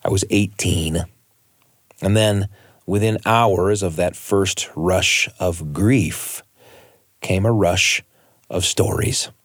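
A man's voice: low-pitched, slow pace, pauses often, dry recording. A man hosting a radio show, telling personal stories and chatting in a studio. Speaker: radio show host and storyteller. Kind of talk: personal storytelling and studio chat.

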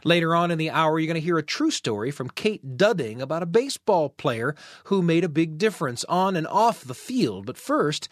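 A man's voice: on the high side, sing-song, moderate pace, few pauses, no echo. A man hosting a radio show in an enthusiastic, welcoming way, moving between scripted links and friendly conversation.

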